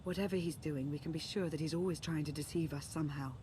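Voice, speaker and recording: An actress playing a calm, watchful adviser in a video game, speaking in a measured, composed way. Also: female voice, deep voice, noisy background, lightly acted. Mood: guarded, supportive. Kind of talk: video game dialogue acting.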